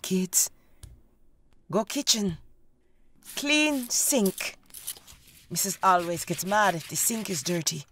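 An actress playing a weary, hushed woman in a radio drama, speaking in terse phrases. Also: low voice, a little hiss or hum.

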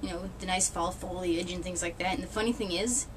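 A woman's voice: on the low side, brisk, background noise. Chatty woman in her thirties, wry and rambling. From New England. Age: 30s. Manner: rambling, wry, laughs often, mild swearing. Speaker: vlogger. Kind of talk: casual chat. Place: small indoor room with red cloth backdrop.

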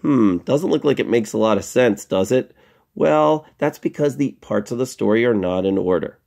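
A middle-aged man with a noticeably creaky voice at an ordinary male pitch teaches a lesson for young kids. He is playful and expressive, posing questions and then answering them like a school teacher. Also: moderate pace. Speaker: elementary school teacher giving a library lesson. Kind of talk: instructional lesson for young children.

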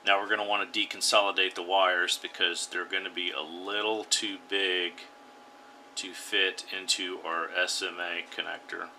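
A man hosting a radio hobby channel, calmly talking viewers through a hands-on build step by step. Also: sits low, normal speed, background noise. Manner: plain, instructive, step-by-step. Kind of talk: DIY tutorial voice-over.

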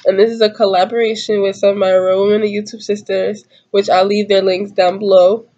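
A woman's voice: medium pitch, level tone, brisk, dark tone, muffled recording. A woman in her twenties chatting casually to camera, a bit congested from a cold, giggly and rambling in slangy talk. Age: 20s. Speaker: YouTuber doing a tag video. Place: small room at home, indoors.

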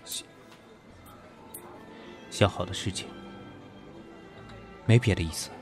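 A deep-voiced, proud businessman played by a male actor keeps himself cool and guarded in an audio drama. His delivery is restrained and businesslike, with clear music playing behind him. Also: slow. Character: guarded, proud businessman facing a former lover. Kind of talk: Chinese audio drama acting.

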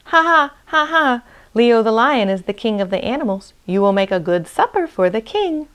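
A woman's voice: medium pitch, animated, a little fast, close to an everyday voice. A woman voicing a scornful, cowardly male hyena in an animal storybook, taunting with bursts of ha-ha laughter. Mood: gloating, menacing.

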